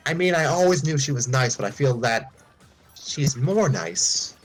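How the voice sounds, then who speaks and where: A man's voice: mid-range voice, lively intonation, measured pace, faint music behind, pitched above the performer's own voice, close to an everyday voice. A man voicing an earnest, awkward young male trainer who stumbles over his words. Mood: flustered. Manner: flustered, stumbling restarts.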